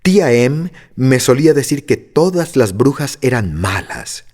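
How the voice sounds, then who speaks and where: A man's voice: medium pitch, very animated, measured pace, a little mellow, voice pushed up. A man doing a lost little girl. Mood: earnest. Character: young girl, lost and earnest.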